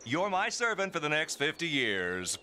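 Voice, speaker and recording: A gloating warlock rival. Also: male voice, fairly high pitch, big pitch swings, slight background noise, heavily acted. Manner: gloating, drawn-out. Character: boastful young male warlock rival. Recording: cartoon dialogue with loud music.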